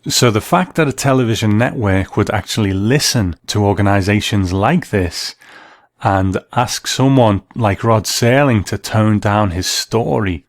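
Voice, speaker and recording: A man's voice: on the low side, unhurried, no echo, studio quality. A man hosting a talk program, giving scripted commentary and reading from articles. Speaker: host.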